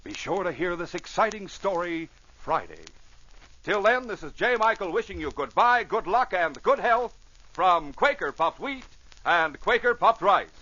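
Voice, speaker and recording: A male old-time radio announcer with a North American accent. His forceful, fairly high voice swings widely in a theatrical, emphatic delivery. The recording is a dull vintage broadcast. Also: sing-song, unhurried. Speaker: announcer and narrator. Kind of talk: dramatic 1940s-50s radio narration and sponsor reads. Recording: muffled old radio with faint noise.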